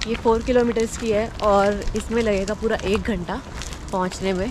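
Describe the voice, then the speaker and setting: A woman's voice: medium pitch, fairly even intonation, normal speed, background noise. A woman from India chatting casually to camera outdoors on a canal walk, with teasing asides. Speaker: vlogger. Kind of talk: casual vlog chat. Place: outdoors along a city canal towpath.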